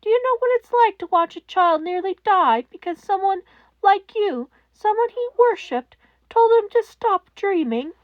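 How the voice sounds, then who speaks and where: A woman voicing a small, stern old mouse principal in a fan-fiction reading, cold, polite and cutting as he makes deliberate accusations. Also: very high voice, animated, no echo, phone-quality sound, pitched above the performer's own voice. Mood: furious. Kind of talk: dramatic audiobook-style fan fiction character reading.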